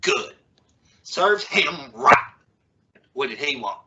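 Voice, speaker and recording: Man playing exasperated, bright-voiced 1950s sitcom dad. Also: fairly high pitch, very animated, slow, creaky, heavily acted. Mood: sardonic. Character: exasperated 1950s sitcom father scolding his family. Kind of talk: old-time radio comedy acting. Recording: dull lo-fi old-time radio sound.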